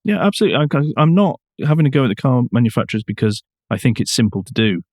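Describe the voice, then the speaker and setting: A man's voice: medium pitch, big pitch swings, very fast, a little mellow, dry recording, studio quality. A man co-hosting a podcast, talking casually with firm, emphatic opinions. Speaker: podcast co-host. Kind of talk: casual discussion of car news.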